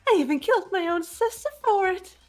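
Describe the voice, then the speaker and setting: A woman's voice: very high-pitched, expressive, fairly quick, faint music behind, voice pushed up. A woman voicing a manic teenage girl mastermind villain from a video game, theatrical, mocking and gushing. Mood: ecstatic, gloating. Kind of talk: fan voice acting of a video game script.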